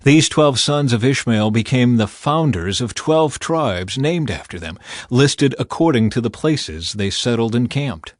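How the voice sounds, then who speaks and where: A man reading scripture as a scripted audiobook narration, with measured, dramatized storytelling delivery. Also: medium pitch, expressive, dry recording. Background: background music.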